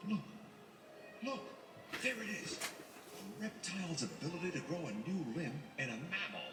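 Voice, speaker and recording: A man's voice: high-pitched, animated, normal speed. A man voicing an ambitious, visionary scientist in a cartoon, earnest and declamatory. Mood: excited, hopeful. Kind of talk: animated series voice acting. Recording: echoey cartoon soundtrack with music, distant.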